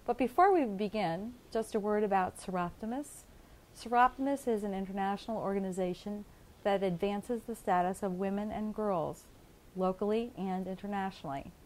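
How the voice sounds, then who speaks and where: A woman's voice: medium pitch, moderate pace, darkish tone, slight background noise, rough recording. A woman hosting a community access television interview, encouraging her guest with some hesitant fillers.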